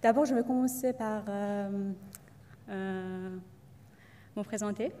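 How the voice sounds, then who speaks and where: Young woman, second-language speaker, halting testimonial talk, medium pitch, reverberant hall. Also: dark tone, a little hiss or hum. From Iran. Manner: hesitant, many fillers and restarts. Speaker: student speaker.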